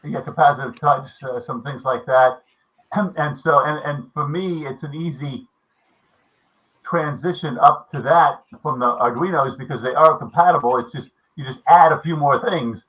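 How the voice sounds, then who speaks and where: A man's voice: fairly high pitch, moderate pace, fairly bright tone, low-quality recording. A man in his seventies chatting casually in a meeting, rambling with many restarts and fillers. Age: 70s. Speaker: maker club member. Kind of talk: casual video meeting chat.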